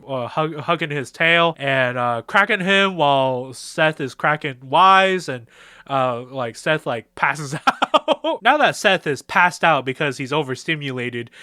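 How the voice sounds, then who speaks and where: A young man with a very clear, fairly high voice rambles through casual fan commentary on a game. His delivery is excitable and full of slang, and he slips into character lines. The sound is dry and close, with a bright edge. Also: no room sound. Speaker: video creator.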